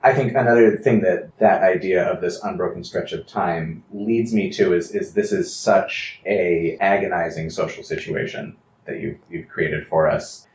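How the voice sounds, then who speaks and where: A deep-voiced man works through long, analytical observations as an interviewer. The sound is reverberant and somewhat dull, with a light layer of noise. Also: moderate pace, few pauses, a little mellow. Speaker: podcast interviewer. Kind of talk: considered interview questions. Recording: roomy, slightly muffled, faint noise.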